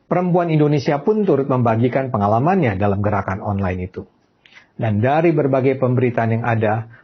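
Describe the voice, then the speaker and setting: Husky man reading commentary. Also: mid-range voice. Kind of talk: scripted commentary. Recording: dull lo-fi clip.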